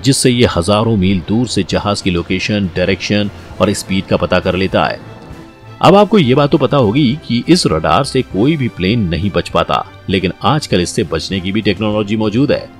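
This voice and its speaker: A man reading a scripted documentary-style explainer, talking straight to the listener in an explanatory way. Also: fairly low pitch. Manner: explanatory, addresses the listener directly. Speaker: narrator of an explainer video. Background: background music.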